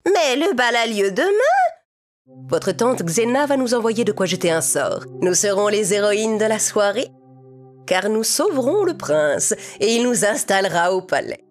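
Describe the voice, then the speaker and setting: A woman's voice: medium pitch, animated, normal speed, faint music behind, close to an everyday voice. A woman voicing a wicked, scheming stepmother in a children's cartoon, imperious and theatrical. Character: wicked, bossy, scheming middle-aged stepmother.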